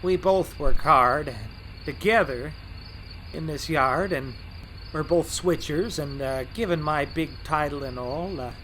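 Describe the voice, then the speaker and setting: A man's voice: a little high, unhurried, pushed and bright, slight background noise, rough recording. A man playing a boastful, grouchy, easily offended switcher locomotive in a fan audio drama. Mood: awkward, hopeful. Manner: brash, indignant, boastful.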